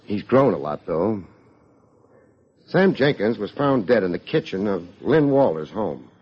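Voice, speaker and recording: An American actor playing a wry, hard-boiled private detective in an old radio drama, with dry, needling delivery. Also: male voice, fairly low pitch, sing-song, unhurried, breathy edge, exaggerated voice. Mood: sardonic. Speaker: private investigator and narrator. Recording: dull vintage radio recording.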